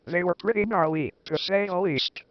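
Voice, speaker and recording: High-voiced man acting as hesitant warning staffer, understated delivery, amateur audio drama, dry and slightly dull sound. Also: normal speed, no room sound, lightly acted. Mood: uneasy, understated. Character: male emergency warning staffer breaking grim news, hesitant.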